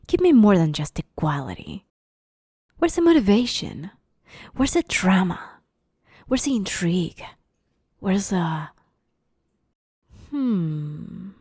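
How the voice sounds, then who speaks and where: Woman voicing a female vampire, ASMR roleplay, playful menace, very slow drawl, mellow tone, breathy whispers, teasing. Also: medium pitch, sing-song, dry recording, studio quality, exaggerated voice. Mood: mock disappointed, playful. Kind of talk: ASMR roleplay, scripted monologue.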